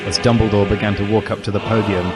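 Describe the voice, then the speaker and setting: A man's voice: lowish voice, level tone, quick pace, over music. A man narrating a comic dramatic reading of a first-person story.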